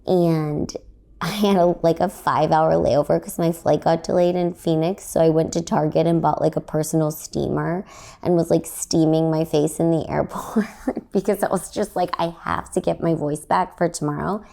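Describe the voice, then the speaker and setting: A woman's voice: deep voice, fairly even intonation, normal speed, gravelly, dark tone. A young woman from Texas, a comedian hosting her own podcast, telling a story in a rambling way with lots of fillers. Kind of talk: casual solo podcast storytelling.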